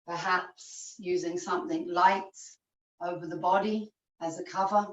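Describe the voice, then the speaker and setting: Slow, echoey woman guiding deep relaxation. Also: steady tone. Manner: slow, measured instruction in short phrases with long pauses. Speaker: yoga teacher. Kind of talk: guided relaxation meditation. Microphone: video call, at a distance. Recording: distant, echoey, muffled video-call recording.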